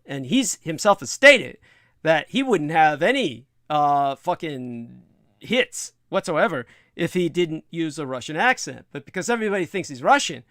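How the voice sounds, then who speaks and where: A man hosting a livestream, giving a rambling, scornful opinion monologue in a creaky voice with many drawn-out hesitations and coarse language. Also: on the high side, a bit bright, dry recording, studio quality. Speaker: livestream host.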